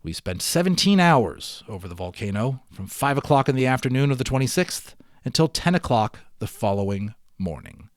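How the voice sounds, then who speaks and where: A man's voice: mid-range voice, moderate pace, few pauses, no echo. Man reading a storybook aloud, dry close sound. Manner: expressive, enthusiastic storyteller, emphasizes and draws out words. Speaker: amateur audiobook reader. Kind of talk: dramatic read-aloud of a children's novel with casual asides.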